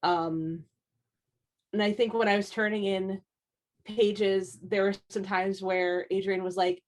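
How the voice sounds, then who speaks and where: A woman's voice: medium pitch, steady tone, slow pace, a little mellow. A woman artist from the American East Coast talking casually on a panel, chatty and full of fillers. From United States East Coast. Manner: chatty, heavy use of "like" and "um". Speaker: comic artist.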